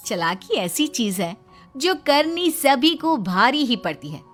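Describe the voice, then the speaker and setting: Lively high-voiced woman narrator. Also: slow. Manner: expressive storytelling. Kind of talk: cartoon story narration. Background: soft music.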